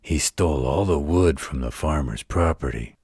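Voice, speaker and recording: A man voicing a gruff, protective werewolf with a deep, gravelly, husky voice, telling a bedtime story in an intimate, folksy, gently wry way. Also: normal speed, no echo, studio quality. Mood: folksy storytelling.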